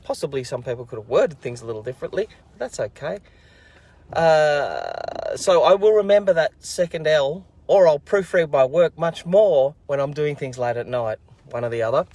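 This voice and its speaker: A man's voice: fairly high pitch, expressive, normal speed, a little mellow, dry recording. Upbeat man, encouraging. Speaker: host running a children's learning program. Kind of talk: casual chat to camera.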